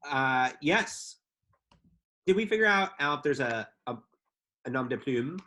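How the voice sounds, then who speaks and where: A man co-hosting a live podcast in a mid-range voice. He talks in a relaxed, casual way and often pauses on ums and restarts. He sounds friendly and unhurried. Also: mid-range voice. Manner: easygoing, hesitant with frequent ums. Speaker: podcast co-host.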